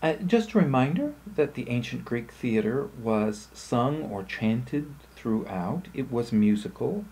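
A man giving an explanatory slide lecture in short, measured phrases with pauses. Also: medium pitch, mellow, breathy edge, poor audio.

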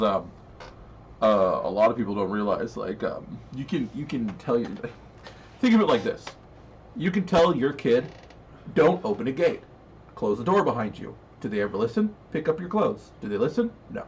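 A man's voice: medium pitch, big pitch swings, brisk, recorded from a distance, slight background noise. A man co-hosting a casual podcast, blunt and swearing as he talks. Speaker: podcast co-host. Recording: dull lo-fi sound, off-mic.

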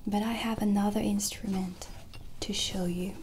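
A woman whispering softly and intimately in a soothing, breathy voice, as an ASMR host in a roleplay. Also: medium pitch, fairly even intonation, a little hiss or hum. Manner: soft, slow, soothing, intimate. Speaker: ASMR host playing a witch shopkeeper. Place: small dim indoor set.

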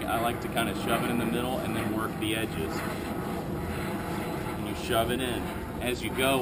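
A man in his thirties with a somewhat low voice gives a relaxed, chatty how-to while kneeling on a backyard lawn. He is picked up by a camera set a little way off, and steady outdoor noise runs under his voice throughout. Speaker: outdoor gear show host. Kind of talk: casual how-to demonstration.

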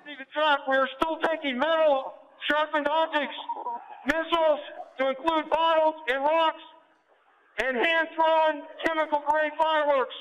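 A man's voice: very high voice, flat delivery, unhurried, muffled recording. A male police officer giving urgent dispatch reports over a police radio. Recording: very noisy echoing police radio transmission. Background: loud noise.

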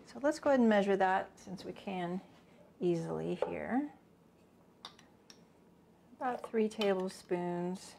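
A woman's voice: medium pitch, animated, takes it slowly, vocal fry, pauses often, noisy background. Chatty woman teaching in a home kitchen. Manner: chatty, thinking aloud, with small asides. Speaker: cooking instructor. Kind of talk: casual cooking demonstration.

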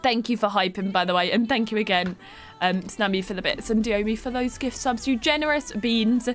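A young woman streaming a life-sim game from home, chatting warmly with her viewers while stuffed up with a cold. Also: fairly high pitch, a little fast, faint music behind. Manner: chatty, affectionate toward chat, giggly. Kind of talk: live game stream chat.

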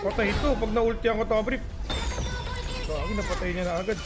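A Filipino man giving excitable, slangy live commentary on a mobile game, repeating his catchphrases. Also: high-pitched, brisk. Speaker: gaming streamer. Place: indoor gaming setup with headrest chair. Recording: voice over loud game music. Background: game music.

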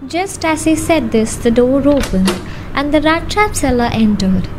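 A woman's voice: sits high, expressive, measured pace, over music. A young woman, a student narrator, reading scripted story narration for a radio drama. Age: young adult.

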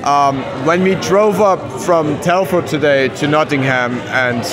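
A man from Germany speaks English with a German accent. His voice sits a little high and he sounds confident and earnest, stopping now and then to find a word. The handheld mic picks up a backstage crowd murmuring clearly behind him. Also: a little slow, music in the background. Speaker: wrestler. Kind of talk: backstage interview. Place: backstage at a wrestling event, before a sponsor wall. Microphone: handheld mic held by the interviewer.

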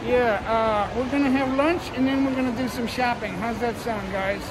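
A man's voice: extremely high pitch, steady tone, moderate pace. A man hosting a casual travel vlog, chatting upbeat to viewers while filming on a phone. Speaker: vlogger, host. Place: busy indoor shopping mall and food court. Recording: loud crowd noise behind.

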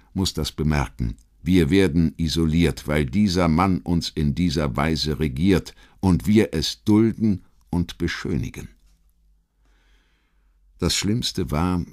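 A man reading a scripted historical documentary audiobook in a measured, emphatic literary manner. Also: low voice. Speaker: audiobook narrator.

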